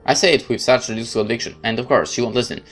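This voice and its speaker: A man's voice: mid-range voice, fairly even intonation, fairly bright tone, faint music behind. A man giving a theatrical first-person reading of a horror visual novel. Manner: measured, theatrical narration. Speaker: game let's-play narrator.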